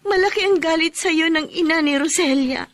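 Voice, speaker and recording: Young radio-drama woman, earnest and worried, high voice. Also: normal speed, fairly bright tone. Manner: earnest, turning frantic.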